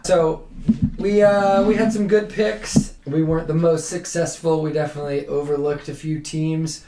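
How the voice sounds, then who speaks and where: A man's voice: a little high, level tone, normal speed, background noise. A man co-hosting a sports podcast, chatting casually as a coach recapping competitions and giving opinions. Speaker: cheerleading podcast co-host and coach. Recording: roomy, echoey sound.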